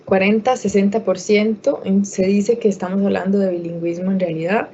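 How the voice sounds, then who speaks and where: A woman answers audience questions in an online talk, stopping often to restart a phrase or fill a pause. Her voice is mellow and dark. The sound comes through a dull, lo-fi video call. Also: medium pitch, fairly even intonation, slight background noise. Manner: hesitant, many fillers and restarts. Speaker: guest expert speaker.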